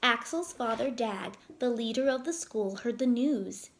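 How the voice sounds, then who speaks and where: A woman narrating a children's story aloud in a deliberate, expressive way. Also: fairly high pitch, a little hiss or hum.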